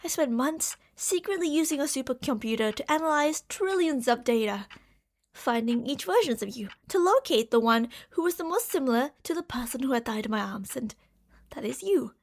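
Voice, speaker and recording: A woman playing an obsessive, delusional genius scientist girlfriend in an ASMR roleplay, doting and gushing, shifting between hushed and excited. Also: high-pitched, normal speed. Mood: intense, explaining. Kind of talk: ASMR roleplay monologue addressed to the listener.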